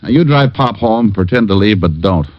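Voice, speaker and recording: An actor playing a wisecracking private detective who narrates, in a 1950s radio drama. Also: male voice, lowish voice, lively intonation, moderate pace, no echo, lightly acted. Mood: wry. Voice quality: wry, dry wisecracking. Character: wisecracking battered private eye. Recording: dull old radio transcription.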